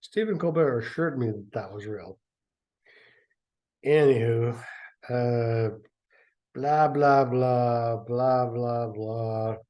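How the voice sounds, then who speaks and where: A man's voice: medium pitch, slow, pauses often, dull audio. A man reading a story aloud and breaking off for sarcastic, rambling asides about it. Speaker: reader and commentator. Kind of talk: sarcastic commentary on a book reading.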